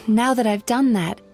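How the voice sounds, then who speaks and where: A woman playing a calm, caring young psychologist in a video game, with a composed and gentle manner. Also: fairly high pitch. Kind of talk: video game character acting.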